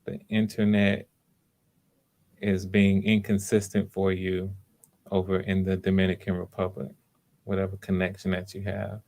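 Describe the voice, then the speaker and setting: A man's voice: deep voice, monotone, normal speed, a little mellow. A man hosting live auditions casually, coaching in an encouraging way and often repeating his words. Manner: encouraging, hesitant repetitions. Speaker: audition host.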